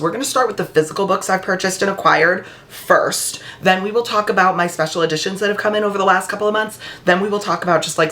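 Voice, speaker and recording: A woman book vlogger talking in a casual, heartfelt way, her voice a little raspy and hoarse after being ill. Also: lowish voice, fairly bright tone. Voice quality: slightly raspy. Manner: chatty and heartfelt. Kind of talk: casual vlog chat. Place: upstairs room at home.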